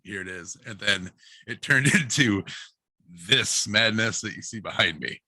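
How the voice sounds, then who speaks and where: A man's voice: on the low side, a little slow, sharp tone, dry recording. A man in a casual chat, speaking as a guest with a joking, exaggerating manner. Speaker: guest author and hairdresser.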